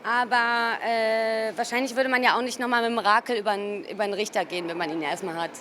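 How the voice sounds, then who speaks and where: A woman from Cologne speaking informally as a gallerist in an interview, often pausing mid-sentence to search for her words. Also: on the high side, steady tone, pushed and bright. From Cologne, Germany. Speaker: gallerist presenting artworks. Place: busy exhibition hall at an art fair. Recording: noisy art fair ambience. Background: ambient fair noise.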